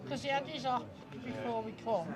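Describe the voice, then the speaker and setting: A woman's voice: on the high side, steady tone, brisk, a crowd in the background. A woman, a friend of the hosts, chatting casually and filling in details for her partner. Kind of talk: casual chat.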